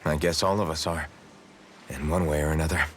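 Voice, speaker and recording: A man's voice: a bass voice, steady tone, brisk, a bit bright, a little hiss or hum. A man voicing a self-doubting, brooding young hero in an English video game dub, speaking in a subdued, reflective way.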